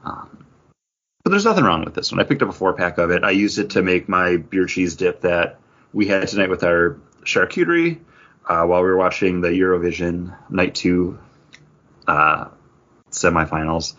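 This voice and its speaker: A man's voice: sits low, animated, normal speed, fairly bright tone, lo-fi sound. A man co-hosting a podcast in a casual, rambling, conversational way. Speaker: podcast co-host. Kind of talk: casual chat.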